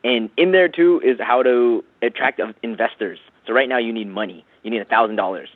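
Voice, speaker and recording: A man on a phone, giving a casual, slangy, persuasive sales pitch peppered with man and bro. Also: brisk, no room sound. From San Francisco Bay Area, USA. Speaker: phone sales representative for a self-help program. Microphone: telephone. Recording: tinny narrowband phone line.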